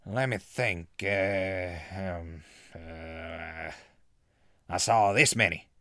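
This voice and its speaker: A man's voice: low-pitched, extremely slow, a bit bright, no room sound, clean studio sound, full character voice. A man playing a friendly, eccentric hermit hunter with chummy, drawling backwoods talk in a comic post-apocalyptic audio drama. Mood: folksy, sure of himself.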